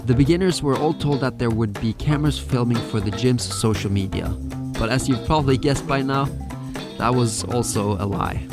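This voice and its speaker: A man's voice: medium pitch, moderate pace, music in the background. A man giving matter-of-fact, scripted voice-over narration for his climbing vlog. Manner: plain, matter-of-fact storytelling. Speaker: climbing YouTuber.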